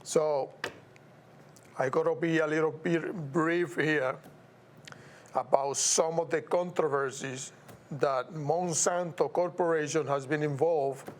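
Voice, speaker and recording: A man from Puerto Rico with a Puerto Rican accent, hosting his own show in a measured, emphatic way, now and then repeating words or stumbling over them. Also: fairly high pitch, fairly even intonation. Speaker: show host. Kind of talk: slow, deliberate solo commentary.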